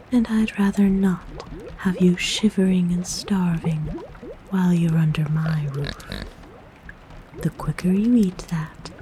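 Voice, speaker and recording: Woman acting as a kind forest witch, unhurried breathy whisper, mellow low tone, motherly fussing, close-mic ASMR roleplay. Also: natural voice. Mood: fussing, caring. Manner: gentle, soothing, coaxing, unhurried. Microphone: close microphone.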